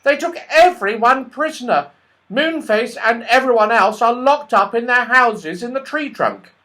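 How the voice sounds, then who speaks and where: A man's voice: very high-pitched, animated, measured pace, sharp tone, pitched above the performer's own voice, exaggerated voice. A man voicing a tiny red squirrel in a children's storybook, with a thin voice and an urgent, worried manner. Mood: agitated, worried. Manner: agitated, urgent explaining. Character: tiny little red squirrel, anxious messenger.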